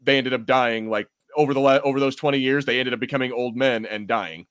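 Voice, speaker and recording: A man's voice: medium pitch, steady tone, quick pace, a bit bright, clean tone, no room sound. Casual male podcast host, dry close sound. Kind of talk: casual chat, fan discussion.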